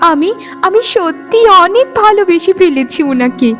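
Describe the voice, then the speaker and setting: A woman voicing a gentle, lovestruck young forest maiden in an earnest cartoon fairy-tale style. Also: very high voice, expressive, moderate pace, faint music behind. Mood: shy, lovestruck. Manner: tender, earnest.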